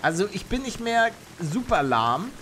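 A man's voice: sits high, measured pace, slight background noise. Chatty young male streamer, faint rain behind. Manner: chatty, reads chat aloud, bursts into excited shouts. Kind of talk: live game stream chat. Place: home streaming room, indoors. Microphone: studio mic on arm near face.

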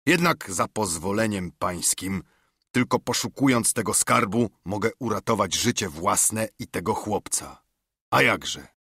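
A man voicing a wily, smooth-talking middle-aged pirate cook who wheedles and bargains, in an audiobook. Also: fairly low pitch, lively intonation, measured pace, pushed and bright, no echo, studio quality, exaggerated voice. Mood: wheedling. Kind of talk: audiobook character reading.

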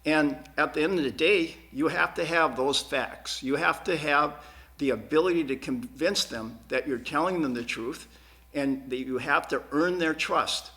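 Earnest man, halting and emphatic. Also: fairly high pitch, bright, forceful tone. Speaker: school board candidate. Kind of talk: answering questions at a candidate forum. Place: TV studio.

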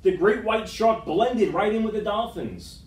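Animated man reading aloud, roomy and distant. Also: sits high, a little slow. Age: middle-aged. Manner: dramatic, animated storytelling. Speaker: storytime reader. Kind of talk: expressive read-aloud of a children's picture book. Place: school room with wood-panelled walls, indoors. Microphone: far camera microphone. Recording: roomy, distant camera microphone.

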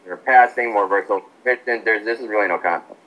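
Fast-talking man with blunt opinions. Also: on the low side, highs cut off. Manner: quick, blunt and decisive opinions. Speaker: podcast co-host. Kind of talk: casual sports chat.

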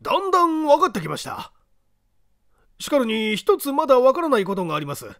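A puzzled, earnest gentleman, acted by a male reader, talks in a very high, creaky voice. He is formal and deferential in his storybook questions. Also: wildly swooping pitch, brisk, no echo, studio quality, heavily acted. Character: earnest, puzzled gentleman, polite and easily flustered. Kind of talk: audiobook character dialogue.

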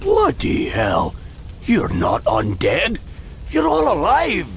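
A man playing a gruff, hearty dwarf adventurer with a blustery, folksy manner in a video game. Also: sits high, wildly swooping pitch, slow, soft background music, heavily acted. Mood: startled. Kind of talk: video game cutscene acting.

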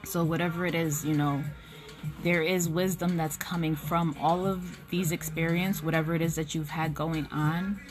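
Young woman, low voice, casual swearing tarot reader talking close to a phone at home, music bed behind. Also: steady tone, normal speed. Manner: frank, blunt and swearing, with frequent "um" and "like". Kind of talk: casual spoken tarot reading. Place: small indoor room at home. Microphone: phone camera at close range.

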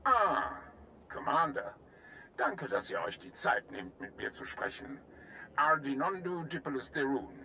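An actor playing a genial, tea-loving alien military commander in a video game, speaking in a courtly, chatty, refined way. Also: male voice, medium pitch, very animated, a little slow, sharp tone, a little hiss or hum, exaggerated voice. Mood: cordial. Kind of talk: video game cutscene acting.

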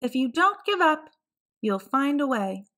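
A steadfast, encouraging storybook mother, read by a woman. She is earnest and measured, with a high, bright voice. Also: big pitch swings, heavily acted.

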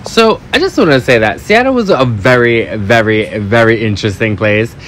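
A man's voice: mid-range voice, lively intonation, moderate pace, slight background noise. A man in his thirties from New York narrating a casual vlog in a sassy, campy, emphatic way, repeating words for effect. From New York, United States. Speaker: vlogger. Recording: loud music under the voice. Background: loud music.

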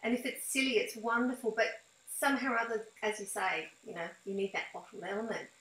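Higher-voiced woman interviewer in echoey room. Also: quick pace, a bit bright, slight background noise. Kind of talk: conversational interview. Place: small room at home, indoors. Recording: roomy, echoing home recording.

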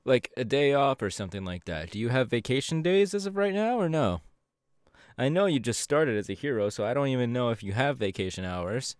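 A man's voice: mid-range voice, expressive, fairly quick, no room sound, clean studio sound, natural voice. A man playing a friendly, bashful young hero with a hesitant, playful manner in an ASMR audio roleplay. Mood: casual, playful.

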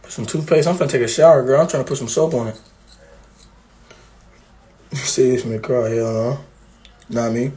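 A man's voice: medium pitch, fairly even intonation, normal speed, pauses often, mellow. A young man hosting a casual livestream from a small bathroom, talking into a phone at arm's length in a laid-back, slangy way full of repeated fillers. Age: young adult. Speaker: livestream host. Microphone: phone held at arm's length.

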